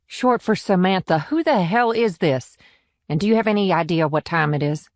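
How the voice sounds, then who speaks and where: A woman playing a gruff, irritable, sharp-tongued small-town policewoman with a husky voice in an audiobook. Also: fairly low pitch, expressive, normal speed, dry recording, studio quality. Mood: groggy, irritated. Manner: blunt, irritable, salty-tongued. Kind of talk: audiobook character acting.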